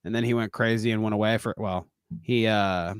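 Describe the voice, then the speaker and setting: A man's voice: fairly low pitch, steady tone, fairly quick, clean tone, dry recording, clean studio sound. A man co-hosting a casual streaming podcast who laughs often and swears freely. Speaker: podcast co-host. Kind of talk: casual chat.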